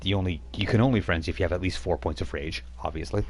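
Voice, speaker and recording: Deep low-voiced guy running a tabletop game, explains rules slowly, lots of ums. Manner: steady, explanatory, halting with fillers. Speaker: game master.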